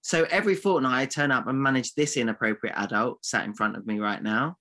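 A man co-hosting a comedy podcast, bantering with teasing, theatrical mock insults. His voice is bright and forward, at an ordinary middle pitch. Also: fast talker. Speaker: podcast co-host. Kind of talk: comic banter.

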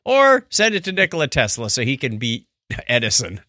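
A man co-hosting a casual podcast, reading listener comments aloud with a jokey, animated manner. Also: mid-range voice, moderate pace, no room sound, studio quality. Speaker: podcast co-host.

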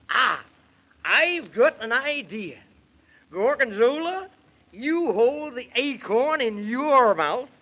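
An actor playing a jolly, fussy fantasy guide with folksy exclamations and singsong rhymes in a 1930s children's radio serial. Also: male voice, very high-pitched, pushed and bright, heavily acted. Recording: muffled, noisy 1930s radio recording.

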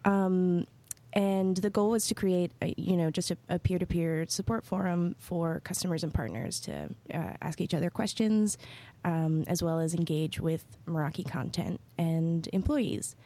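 A woman's voice: lowish voice, normal speed, dark tone. A woman co-hosting a podcast who explains how an online community works in a friendly, easy manner. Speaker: community manager, co-host. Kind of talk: casual podcast chat.

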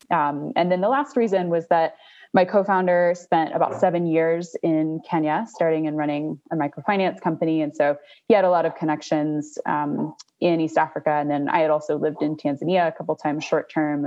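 A young adult woman, a startup co-founder, giving measured explanatory answers in an interview. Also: low voice, darkish tone, lo-fi sound. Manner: measured, explanatory, with small restarts.

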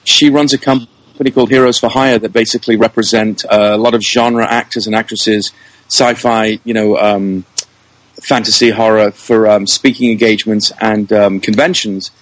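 A man talking casually as an interview guest, thinking aloud and pausing as he works out his answers. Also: on the low side, fairly even intonation, no room sound, low-quality recording. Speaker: interview guest, show creator, writer and director.

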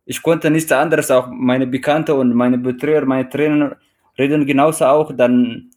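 A man's voice: medium pitch, steady tone, measured pace, a little mellow. A man from Eritrea with an Eritrean accent, a runner interviewed in a casual chat, speaking hesitantly with many restarts as he searches for words. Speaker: guest, mountain runner.

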